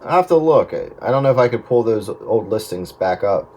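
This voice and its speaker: Low-pitched man chatting on two-way radio. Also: expressive, a little fast, noisy background. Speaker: amateur radio operator. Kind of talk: casual chat over two-way radio. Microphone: desk radio microphone.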